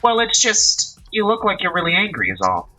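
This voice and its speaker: A man voicing a cheerful, eager young woman writer in a raised falsetto-like voice. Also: huge pitch swings, normal speed, bright, forceful tone, faint music behind, exaggerated voice. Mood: hesitant. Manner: high, bubbly, insistent.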